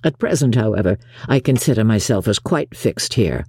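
An audiobook narrator voicing an eager, impulsive, courteous young gentleman from a period novel in an emphatic, earnest way. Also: medium pitch, animated, moderate pace, husky, no room sound, clean studio sound, voice pushed down. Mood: breezy.

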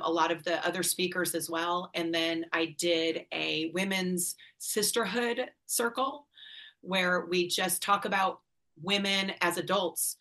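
A middle-aged woman from northern Illinois with a bright, forceful voice sitting a little low in pitch. She chats candidly as a podcast guest, laughing easily and swearing without a second thought. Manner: chatty, candid, laughs often, swears casually. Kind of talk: casual conversation.

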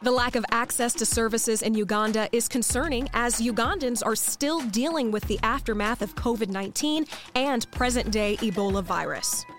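A woman anchoring a news program, reading headlines in a crisp, scripted way. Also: a little high, normal speed, few pauses, soft background music.

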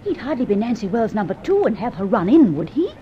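A spirited lady sidekick in a vintage radio serial, kind and a little arch. Her high voice lilts widely, and the old recording is dull. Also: big pitch swings, fast talker, a little hiss or hum. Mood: alert. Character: spirited, kind-hearted lady companion to an adventurer.

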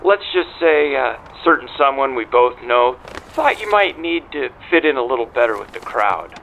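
Man playing a chatty, animated ticket clerk. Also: mid-range voice, moderate pace, a little hiss or hum, close to an everyday voice, poor audio. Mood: knowing, helpful. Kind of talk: audio drama acting.

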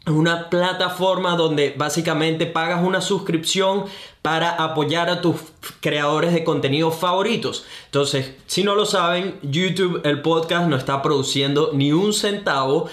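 A man's voice: a little high, a little slow. Upbeat Venezuelan man hosting a podcast. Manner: energetic, enthusiastic, slangy. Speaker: podcast host. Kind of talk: upbeat podcast intro and interview. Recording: roomy echo.

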